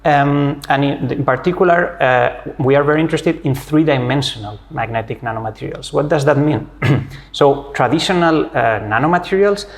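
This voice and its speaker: A man's voice: mid-range voice, moderate pace. A middle-aged man from Spain with a Spanish accent, a physics professor answering interview questions in a friendly, explanatory way with frequent hesitations. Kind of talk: academic interview conversation.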